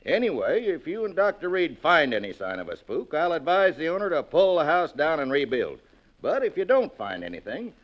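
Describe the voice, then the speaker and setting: An actor playing a plain-spoken, folksy male real estate agent, in 1940s radio acting style. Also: a little high. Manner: folksy, matter-of-fact storyteller. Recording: dull old 1940s radio recording.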